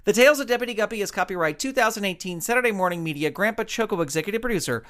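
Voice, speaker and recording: A man's voice: high-pitched, animated, rapid-fire, fairly bright tone. A man reading scripted credits and promos as an announcer, upbeat and addressing children.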